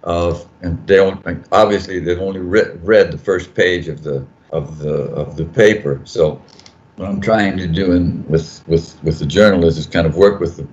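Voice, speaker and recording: Older male editor, deep voice, rambling and self-correcting, muffled video-call audio. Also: normal speed, a little mellow. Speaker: journal editor on a panel. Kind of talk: casual panel talk. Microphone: video call mic.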